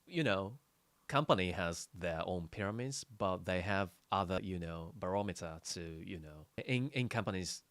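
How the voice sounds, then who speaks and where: A man, chatting casually on a podcast in halting, restarting English. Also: deep voice, lively intonation, fairly bright tone, no room sound. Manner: halting, restarts often, non-native phrasing. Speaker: podcast co-host. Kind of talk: casual chat.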